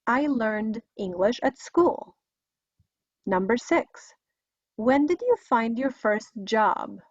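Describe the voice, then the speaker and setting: Slow-speaking Polish-accented woman teaching English. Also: on the high side, lively intonation, dry recording. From Poland. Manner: careful, instructional enunciation. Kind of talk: scripted video lesson with listen-and-repeat drills. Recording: dry, slightly dull lo-fi recording.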